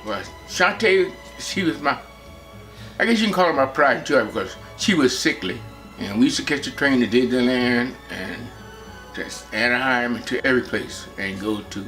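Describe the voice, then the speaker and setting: A man in his seventies from Texas, talking about his family in a relaxed, rambling way. He often pauses with an uh as he searches for words. Soft music sits faintly behind his voice. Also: mid-range voice, low-quality recording. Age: 70s. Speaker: interviewee recalling family life. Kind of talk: personal reminiscence.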